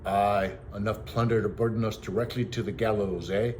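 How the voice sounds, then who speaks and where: A big, angry storybook outlaw as a man acts him out, greedy and bullying. He growls out threats in rough rustic slang, over faint noise on a home recording. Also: on the low side, fairly even intonation, moderate pace, darkish tone, close to an everyday voice. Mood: angry, threatening.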